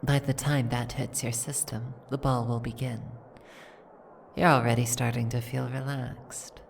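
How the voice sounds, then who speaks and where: A woman playing an imperious, seductive dragon aristocrat in an ASMR roleplay, with languid, commanding, formal speech and a breathy, gravelly voice. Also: very deep voice, flat delivery, measured pace, slight background noise, exaggerated voice. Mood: smooth, seductive. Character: imperious seductive female dragon, possessive aristocratic mansion owner. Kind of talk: ASMR roleplay, scripted second-person acting.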